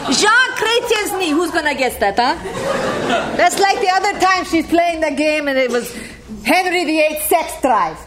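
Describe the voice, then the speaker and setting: A woman's voice: very high-pitched, expressive, a little slow, crowd noise, background noise. A woman with an Icelandic accent telling rambling stories about a friend to a gathering, chuckling often as she talks. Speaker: speaker sharing memories of a friend. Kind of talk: casual storytelling to a gathering.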